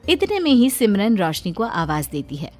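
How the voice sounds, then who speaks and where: A woman's voice: medium pitch, big pitch swings, moderate pace, faint music behind. A woman narrating a scripted cartoon story as the storyteller.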